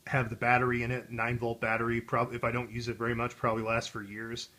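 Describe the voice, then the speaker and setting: A man's voice: medium pitch, monotone, fast talker, bright, forceful tone. American guy hosting a video, just chatting, kinda wry and rambly, normal mid voice. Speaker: video host. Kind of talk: casual chat.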